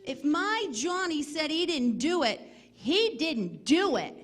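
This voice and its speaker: A woman's voice: sits high, big pitch swings, takes it slowly, full character voice. An actress playing a brassy, scornful gangster's girlfriend in a 1940s-style radio drama. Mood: scornful, defensive.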